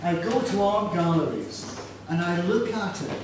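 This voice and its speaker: Brief: Scottish man in his sixties, high voice, unhurried, joking about himself; distant, echoey room with noise behind. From Scotland. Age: 60s. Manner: self-deprecating, chatty, asks the audience questions. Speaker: storyteller presenting his artwork. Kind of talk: informal talk to students.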